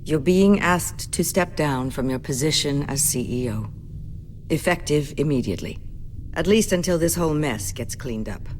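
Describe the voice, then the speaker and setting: An actress playing a businesslike company executive in a video game, formal, measured and coolly apologetic. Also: female voice, deep voice, animated, a little mellow, a little hiss or hum. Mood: regretful, firm. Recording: music playing behind the voice.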